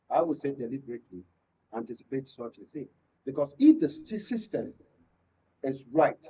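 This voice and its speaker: Husky, muffled Nigerian man, a panel guest. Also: mid-range voice, dark tone. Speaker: panel guest from the creative industry. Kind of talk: political discussion on a radio and TV panel. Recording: muffled, distant-mic, lo-fi studio pickup.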